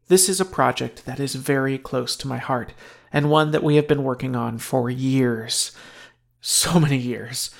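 Male podcast host, mid-pitched with noticeable vocal fry, reading a scripted show intro and promo.

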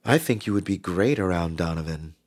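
An actor voicing a gentle single dad who is courting a boyfriend, speaking softly, slowly and intimately. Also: male voice, low voice, lively intonation, voice pushed down. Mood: tender.

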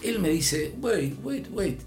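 A man's voice: high voice, slow pace. Older guy w argentine accent spinning a story, voice goes up n down, faint music behind. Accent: Argentine Spanish. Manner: animated, voice rising and dropping with the story. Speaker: storyteller guest. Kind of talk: casual anecdote.

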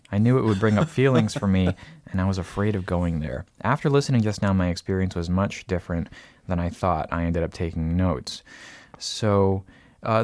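A man hosting a casual podcast, reading out a listener's letter and talking thoughtfully with many pauses and fillers. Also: deep voice, darkish tone, no echo, clean studio sound. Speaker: podcast host.

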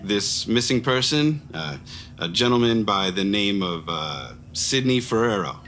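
A man playing a casual, skeptical police officer in an audio drama, halting and dry in delivery. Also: lowish voice, animated, a bit bright, slight background noise. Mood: offhand, official.

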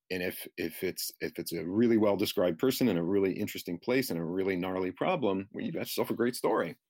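A man with a low-pitched voice talks as an expert interview guest. He explains ideas conversationally and persuasively, like someone used to telling stories. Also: slightly rough.

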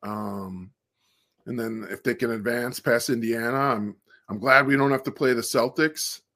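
A man with a deep voice, joining a casual sports podcast over a video call, easygoing and relaxed. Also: unhurried. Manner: laid-back, easygoing, says "man" and "dude". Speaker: guest co-host.

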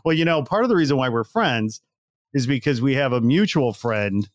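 A man's voice: medium pitch, expressive, normal speed, no echo. A man of fifty hosting a casual interview, effusive and loose, with lots of fillers and a bit of swearing. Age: 50s. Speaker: interview host.

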